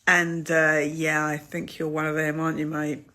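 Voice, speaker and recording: A speaker mocks and goads someone in a short clip. The tone is bright and sharp. The pitch sits in the middle. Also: monotone, normal speed. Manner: mocking, confrontational. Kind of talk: ranting social media video.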